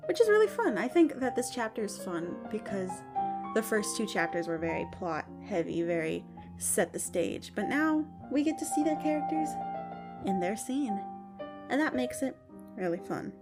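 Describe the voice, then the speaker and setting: Lively, chatty woman host over music. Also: medium pitch, moderate pace. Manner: playful, addresses listeners like friends. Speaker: podcast host. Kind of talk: casual, chatty audio drama outro. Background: prominent music.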